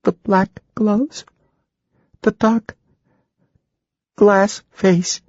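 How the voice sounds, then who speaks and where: A man voicing a terrified young woman under hypnosis, speaking in high, breathy, trembling whispers. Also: very slow, mellow, no echo, muffled recording, full character voice.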